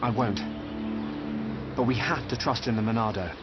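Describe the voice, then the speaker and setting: A man's voice: mid-range voice, normal speed, natural voice. A young British man playing an earnest, determined sword-wielding hero in a video game. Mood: calm resolve. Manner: earnest, resolute. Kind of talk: dramatic video game voice acting. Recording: dull game capture under loud music.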